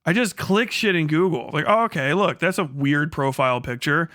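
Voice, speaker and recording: A man's voice: fairly high pitch, normal speed, pushed and bright, no echo, studio quality. A man livestreaming a casual rant in a blunt, sarcastic, heavily profane way. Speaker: live streamer.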